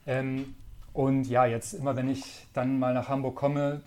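A man in his thirties from northern Germany, a magazine editor answering podcast questions in a relaxed way, thinking aloud with many fillers. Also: medium pitch, a little slow. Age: 30s. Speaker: magazine editor-in-chief, guest. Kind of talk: casual podcast interview.

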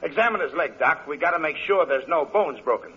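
A dull old radio comedy recording. an officious, immovable police officer with a rough, raspy edge. He orders people about insistently, his delivery sharp and widely varied. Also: a little high, lively intonation, brisk. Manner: bossy, insistent, emphatic. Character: officious, stubborn by-the-book male police officer. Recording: dull vintage radio broadcast.

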